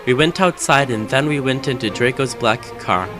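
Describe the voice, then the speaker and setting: A man's voice: medium pitch, normal speed, over music. Man doing a dramatic story reading. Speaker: fan fiction reader. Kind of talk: dramatic reading of fan fiction.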